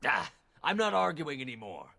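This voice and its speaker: A man's voice: medium pitch, very animated, moderate pace, gravelly, sharp tone, exaggerated voice. A man voicing a greedy, haughty merchant in a fantasy video game, defensive and full of indignant bluster. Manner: indignant, self-justifying bluster.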